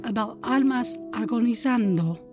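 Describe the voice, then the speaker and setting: A woman's voice: fairly high pitch, moderate pace, natural voice. Woman playing a frail, loving old grandmother. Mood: gentle. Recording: loud guitar music under voice. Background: plucked guitar music.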